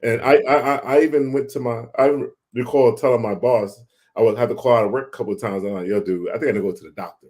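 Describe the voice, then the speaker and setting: A man talking casually and telling a personal story in a halting way, repeating words as he thinks aloud. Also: medium pitch, fairly even intonation, darkish tone. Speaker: guest sharing a personal health story.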